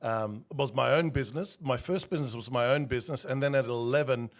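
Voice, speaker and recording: A man in his forties, born in Argentina and raised in Australia, telling his story as a podcast guest in a relaxed, self-deprecating way with many fillers. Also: mid-range voice, fast. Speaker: guest, executive coach. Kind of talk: casual interview talk.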